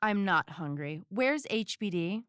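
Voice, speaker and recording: A woman's voice: mid-range voice, lively intonation, a little slow, fairly bright tone, clean tone, no room sound, studio quality. An actress playing a fed-up, brusque and sarcastic female sidekick in an audio drama. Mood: irritated, sarcastic. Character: blunt, sarcastic, fed-up cyborg-armed sidekick woman. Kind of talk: scripted audio drama acting.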